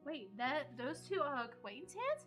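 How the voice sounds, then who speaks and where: A woman with a high voice streams a video game live. She chats casually with viewers and reacts to the story, with game music clearly audible behind her. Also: sing-song, measured pace, a bit bright.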